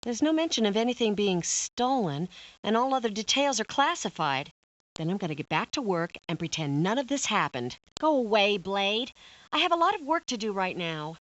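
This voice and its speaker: A woman's voice: mid-range voice, fairly bright tone, lo-fi sound. Busy police lab woman for a video game, snippy, sarcastic, voice swings up and down. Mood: irritated, dismissive. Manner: terse, sarcastic, exasperated. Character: busy, brusque female police lab and records technician. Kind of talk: scripted video game acting.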